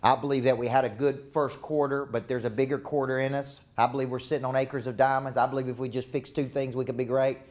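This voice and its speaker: A man's voice: medium pitch, little pitch movement, fast, hardly any pauses. A man with a Southern accent giving a live seminar talk as a leadership coach, emphatic and repetitive with a preacher-like cadence.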